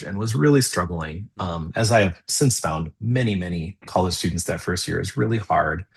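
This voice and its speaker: A middle-aged man speaking as an interview guest, thoughtful and easy to laugh, animated when explaining. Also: fairly low pitch, husky. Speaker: guest, composer and theology professor. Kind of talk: conversational interview.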